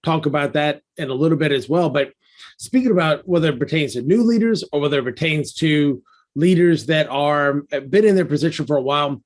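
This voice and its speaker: Chatty male podcast host, higher-pitched. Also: a little fast. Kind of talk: casual interview hosting.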